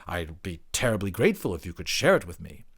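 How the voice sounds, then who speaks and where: A man's voice: low-pitched, sing-song, fast, fairly bright tone, husky, heavily acted. A man voicing an eager, inquisitive anthropologist in an audiobook, fussily polite and placating. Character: eager, overly polite, mopey-turned-keen male anthropologist interviewer. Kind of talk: audiobook character voice.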